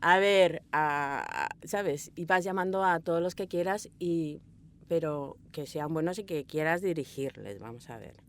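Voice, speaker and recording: A woman with an accent from Spain, an audio fiction director telling rambling, animated stories in a casual interview. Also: low voice, a little slow. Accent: Spanish (Spain). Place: small recording booth.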